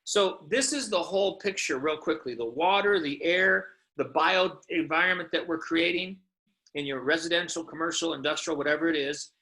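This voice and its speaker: A man's voice: on the high side, moderate pace, sharp tone, rough recording. A man delivering an informal sales presentation in an emphatic, insistent manner, often punctuated with rhetorical questions. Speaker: company presenter pitching products.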